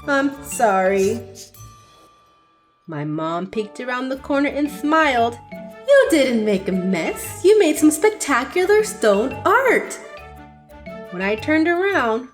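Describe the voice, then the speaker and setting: A young adult woman hosting a children's channel, reading a picture book aloud in an expressive, sing-song way. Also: a little high, slow, soft background music. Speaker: children's story reader. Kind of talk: read-aloud storytelling for children.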